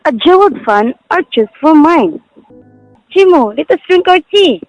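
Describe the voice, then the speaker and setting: A woman's voice: sits high, sing-song, normal speed, darkish tone, soft background music. A woman playing a scornful, vain young daughter of a mandarin in an amateur drama, haughty and mocking. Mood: greedy, playful. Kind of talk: amateur stage-play acting. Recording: dull lo-fi, dry recording.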